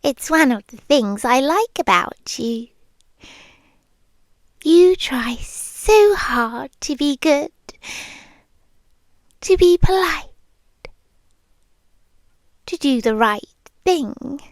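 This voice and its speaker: Woman playing a slow, high-voiced British vampire girlfriend. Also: animated, dry recording, exaggerated voice. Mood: coaxing, affectionate. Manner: slow, hushed, lingering, coaxing with soft pauses. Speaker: ASMR roleplay performer. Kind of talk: intimate girlfriend roleplay ASMR, often whispered. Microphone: close microphone, dry.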